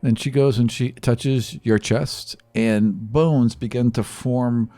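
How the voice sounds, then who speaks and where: A man's voice: mid-range voice, fairly even intonation, takes it slowly, warm tone, dry recording. A man narrating a tabletop game as game master, conversationally and piece by piece as he improvises.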